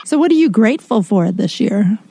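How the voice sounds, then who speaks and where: A woman's voice: mid-range voice, lively intonation, brisk, vocal fry, heavy background noise. A woman co-hosting a podcast who tells childhood stories in casual, chatty talk. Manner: warm storytelling, chatty with lots of "like". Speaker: podcast co-host. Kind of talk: casual chat.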